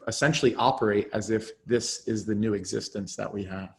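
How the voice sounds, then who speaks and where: A man's voice: lowish voice, fairly even intonation, a little fast, gravelly. A man hosting a podcast interview, enthusiastic and praising as he sums up his guest's answers. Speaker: podcast host.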